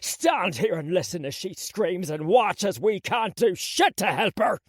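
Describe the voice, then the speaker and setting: A woman's voice: lowish voice, expressive, a little slow, dry recording, studio quality, pitched above the performer's own voice. A woman voicing a bitter, furious father who sneers and accuses his child. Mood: seething.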